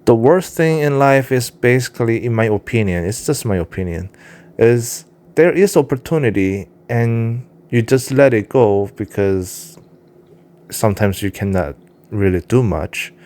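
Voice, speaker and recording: A man's voice: medium pitch, expressive, a little slow, darkish tone. A man with a Korean accent, a writer who speaks English as a second language, talking candidly to his cat in a rambling, casual vlog monologue. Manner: rambling, candid, frequent fillers and restarts. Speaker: vlogger and self-published writer.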